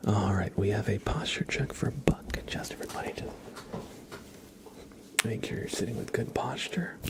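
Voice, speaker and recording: A man hosts an ASMR live stream in a soft whisper. His voice sits low, and he talks in an unhurried way, chatting to viewers and slipping into little roleplays.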